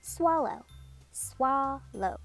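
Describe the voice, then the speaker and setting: High, animated woman reading slowly to children. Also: gravelly, faint music behind. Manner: slow, deliberate enunciation with animated emphasis. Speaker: read-aloud host for children. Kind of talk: children's picture book read-aloud, sounding out words.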